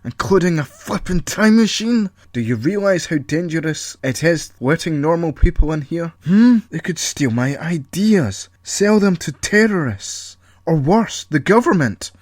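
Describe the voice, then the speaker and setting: A man's voice: a little high, expressive, a little slow, hardly any pauses, a little mellow, no room sound, voice pushed up. A man voicing a hot-tempered, secretive young inventor who rants in indignant bursts. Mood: furious. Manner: indignant, paranoid ranting.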